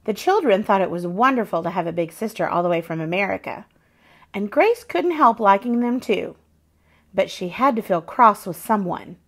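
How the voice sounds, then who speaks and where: Female storybook narrator, mid-range voice, expressive read-aloud for young children. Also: medium pitch, normal speed. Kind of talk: expressive children's picture book reading.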